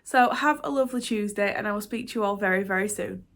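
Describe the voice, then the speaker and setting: A woman's voice: medium pitch, quick pace. A young woman chatting to camera about books and her week, rambling with many fillers and restarts, and sounding stuffy from a cold. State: congested with a cold. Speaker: book vlogger. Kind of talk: casual chatty vlog to camera.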